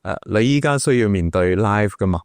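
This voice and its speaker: A man's voice: on the low side, brisk, smooth, no room sound. A man hosting a casual podcast interview, chatting deferentially with his guest.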